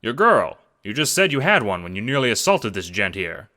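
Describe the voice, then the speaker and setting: A brash gangster schemer for an audio drama. He talks in cocky, jovial old-time wiseguy fashion, with a bright, punchy edge. He sounds sure of himself and out for his own gain. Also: lowish voice, very animated, normal speed. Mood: impatient. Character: scheming, brash, egotistical male gangster adventurer.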